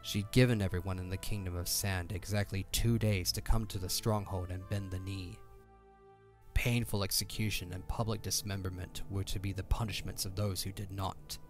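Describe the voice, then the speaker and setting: A man narrates a fantasy audio drama in a very low voice. His delivery is scripted and dramatic, like an audiobook, with music playing softly underneath. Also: little pitch movement, normal speed.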